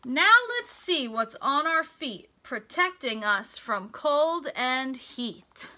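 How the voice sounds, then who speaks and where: An American woman reading a picture book aloud to young children, in a deliberate, sing-song teacher's delivery with emphasized words. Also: high-pitched, expressive, bright, forceful tone. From United States. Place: small indoor room with racks of hanging clothes.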